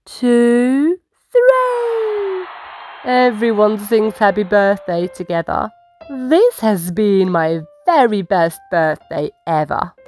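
A woman reading a children's picture book aloud as storytime host, with dramatic phrasing and drawn-out words. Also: on the high side, a little mellow.

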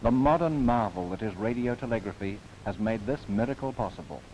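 A man narrating a scripted 1930s documentary film in a formal, measured, earnest manner. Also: medium pitch, darkish tone. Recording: noisy 1930s film soundtrack.

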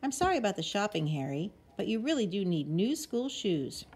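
A woman voicing a storybook mother koala, sensible and a little weary, with measured, emphatic parental delivery. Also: mid-range voice, very animated, darkish tone, slight background noise, close to an everyday voice. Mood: patient.

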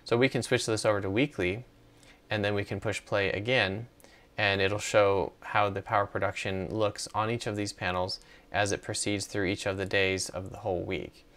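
A man's voice: on the low side, little pitch movement, moderate pace, fairly bright tone. A middle-aged man giving a casual screen walkthrough in a hesitant, conversational way. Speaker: tutorial presenter.

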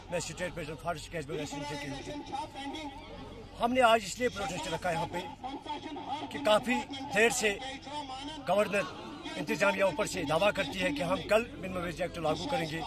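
Man, high voice, union leader, emphatic protest statement, outdoor press microphones. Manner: emphatic, insistent, rhetorical questions. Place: open sports ground among a crowd. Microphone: handheld news microphones held close.

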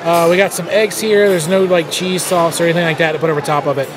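A man giving a relaxed, chatty food review while he eats in a buffet restaurant. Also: a little high, fast. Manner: relaxed, chatty, thinks aloud between bites. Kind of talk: casual on-camera food review. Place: busy casino buffet restaurant indoors. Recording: loud crowd chatter and clatter around. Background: loud diners and dish clatter.

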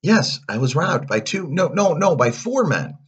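A man voicing a naive, excitable young puppet boy in a storybook, with an eager and hesitant childlike manner. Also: mid-range voice, normal speed, breathy edge, muffled recording, voice pushed up. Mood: defensive fibbing. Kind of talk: storybook character voice.

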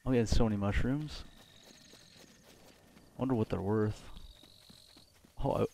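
A man's voice: lowish voice, unhurried, mellow. A man giving casual live video game commentary in short, easily awed reactions. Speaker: let's play commentator. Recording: clear game ambience noise behind. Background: video game ambience.

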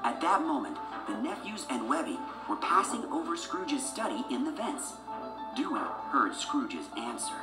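A woman narrates a children's story in a low, lively voice. It sounds like a scripted read-along, with music behind her. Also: moderate pace, reverberant.

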